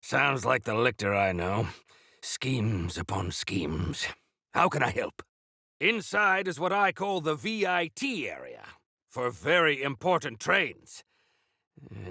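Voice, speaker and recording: An actor playing a proud, stalwart older leader of mountain folk in a video game, with a rough gravelly voice and theatrical, old-fashioned formal phrasing. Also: male voice, medium pitch, sing-song, measured pace, pushed and bright, no echo, studio quality, full character voice. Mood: solemn, measured, then encouraging. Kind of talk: video game character acting.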